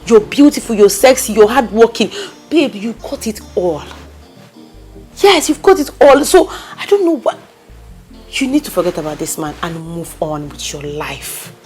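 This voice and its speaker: A woman's voice: medium pitch, big pitch swings, normal speed, darkish tone, soft background music, lightly acted. Woman playing a pushy Nigerian best friend. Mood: scolding. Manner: emphatic, insistent, coaxing pep talk. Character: bossy, loyal best friend hyping her sister up. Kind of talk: skit acting.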